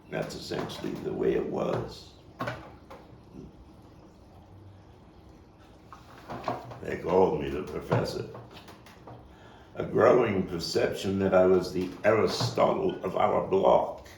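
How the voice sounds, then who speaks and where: An actor playing a weary, foul-mouthed, combative neighborhood philosopher in a halting, emphatic stage monologue, his voice gravelly. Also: low voice, lively intonation, heavily acted. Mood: wistful, grand. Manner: rambling, profane, halting, emphatic street talk. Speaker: playwright and actor. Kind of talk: theatrical monologue at a table read. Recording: distant mic, roomy echo, faint noise.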